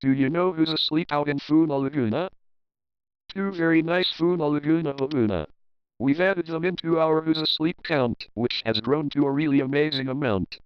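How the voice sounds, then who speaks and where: A man's voice: a little high, moderate pace, clean tone, lo-fi sound. A man narrating a children's picture book as a readalong, reading rhyming verse expressively and rhythmically. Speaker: storybook narrator.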